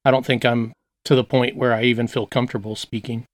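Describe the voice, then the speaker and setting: A man's voice: medium pitch, level tone, quick pace, no echo. A man from the United States chatting as an interview guest, laid-back and joking, acting out quotes. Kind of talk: casual interview chat.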